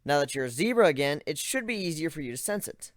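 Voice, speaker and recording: Male reader, expressive first-person narration with wide pitch swings; dry, close recording. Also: fast talker, dry recording. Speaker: story reader. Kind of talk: dramatic reading of a story.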